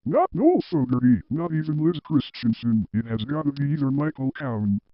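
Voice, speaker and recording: A man's voice: mid-range voice, very animated, brisk, dry recording, lightly acted. A man acting a defiant, swooping office worker. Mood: exasperated correcting. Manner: emphatic, repetitive. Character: defiant, stubborn male office employee who argues back. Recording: dull, dry lo-fi audio.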